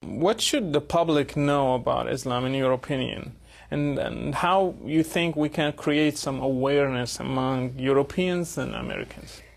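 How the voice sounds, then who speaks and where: A man whose first language is Arabic, interviewing a guest with probing questions and some halting restarts. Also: medium pitch, gravelly. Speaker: interviewer, host.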